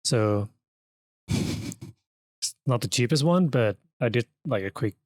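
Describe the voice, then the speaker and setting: A man's voice: mid-range voice, animated, slow, darkish tone, dry recording. A man co-hosting a podcast, chatting casually and informally. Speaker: podcast co-host and audio editor. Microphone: close podcast microphone.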